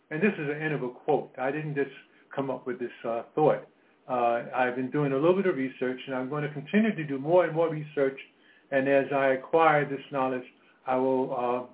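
A man in his seventies hosting a health talk radio show, speaking in a halting way with many fillers and repeated words. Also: mid-range voice. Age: 70s. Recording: phone-line audio.

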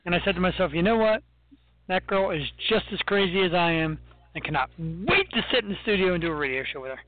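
A man co-hosting a radio talk show, bantering and teasing his co-host in a joking, animated way. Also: sits high, fast talker, no echo. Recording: dry, phone-like narrow sound.

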